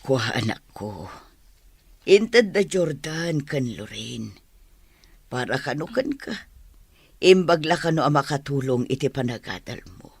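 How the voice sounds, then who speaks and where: A woman with an Ilocano accent playing a caring, remorseful mother, pleading and husky, in an audio drama. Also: very deep voice, lively intonation, a little slow, long pauses, dry recording, clean studio sound, heavily acted. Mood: caring, persuasive. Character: caring, remorseful middle-aged mother and wife.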